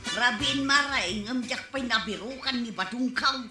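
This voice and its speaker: Actress as a shrill, nagging wife; radio comedy with faint music. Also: female voice, unhurried, reverberant, close to an everyday voice. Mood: complaining. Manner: shrill scolding, calls out loudly. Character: nagging wife hunting her drunk husband. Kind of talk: Ilocano radio comedy drama acting.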